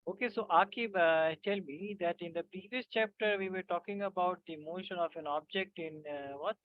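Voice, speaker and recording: A man teaching physics in an online class, halting with many fillers and often checking that students follow. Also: high voice. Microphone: video call. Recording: tinny dry call audio.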